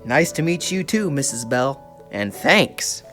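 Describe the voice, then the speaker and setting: A woman's voice: very deep voice, sing-song, measured pace, fairly bright tone, soft background music, full character voice. A woman voicing an earnest, polite young boy in a children's puppet show.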